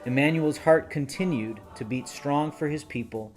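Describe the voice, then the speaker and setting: A man reading scripted promotional narration in a measured, appealing tone. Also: fairly high pitch. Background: soft music.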